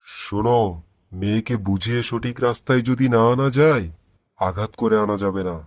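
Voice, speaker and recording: A man voicing a pious, worried middle-aged father who gently pleads and admonishes in a cartoon. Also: fairly low pitch, moderate pace, dry recording, studio quality, close to an everyday voice. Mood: pleading. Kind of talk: cartoon dialogue acting.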